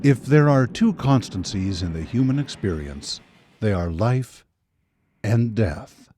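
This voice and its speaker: A man's voice: on the low side, animated, slow pace, mellow, slight background noise. A man playing the ominous narrator of an eerie radio anthology, in dramatic scripted narration. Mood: ominous, measured. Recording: live radio studio broadcast with music. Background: music.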